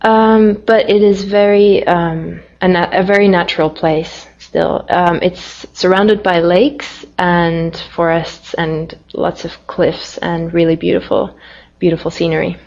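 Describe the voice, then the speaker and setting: Woman, young, lowish voice, chill n friendly, lots of um, kinda muffled lo-fi. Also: fairly even intonation, a little slow, darkish tone. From Finland. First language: Finnish. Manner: friendly, easygoing, with frequent fillers. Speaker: interviewee. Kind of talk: casual interview answers.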